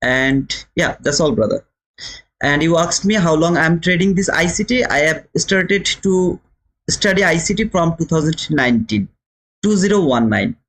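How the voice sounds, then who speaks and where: A man's voice: fairly high pitch, moderate pace, a bit bright, rough recording. A man with a Bangladeshi accent hosting a live trading lesson, speaking emphatically to viewers, repeating phrases and posing rhetorical questions. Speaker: trading teacher and livestream host. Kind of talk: live instructional commentary, talking to viewers.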